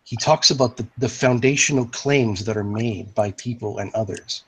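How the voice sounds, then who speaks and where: A man's voice: mid-range voice, moderate pace, breathy edge, low-quality recording. A man moderating an online voice-chat discussion, explaining in long philosophical sentences.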